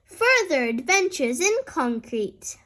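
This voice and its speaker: A woman voicing an earnest, slightly bumbling father pig with a deliberate manner, in a children's storybook read-aloud. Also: high-pitched, big pitch swings, a bit bright, full character voice.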